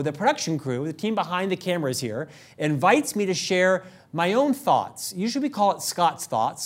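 Man, middle-aged, fairly high pitch, fast upbeat delivery, occasional stutter, host monologue. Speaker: host of a leadership show. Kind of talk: scripted monologue to camera.

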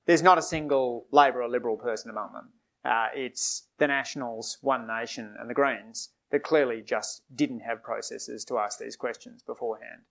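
A man, a politician and author, answering audience questions at a live book discussion in a measured way, with frequent fillers and restarts. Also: medium pitch, vocal fry, a bit bright, rough recording. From Australia. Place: event hall with audience.

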